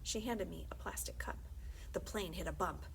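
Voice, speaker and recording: A woman reading a children's book aloud as a librarian, conversational and expressive, often dropping into hushed, confiding tones. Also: on the low side, moderate pace, vocal fry, slight background noise, rough recording. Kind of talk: animated read-aloud of a children's book with brief asides.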